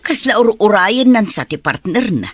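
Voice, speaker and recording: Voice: medium pitch, sing-song, moderate pace, husky, dry recording. A performer playing a sulky, complaining companion in a radio drama.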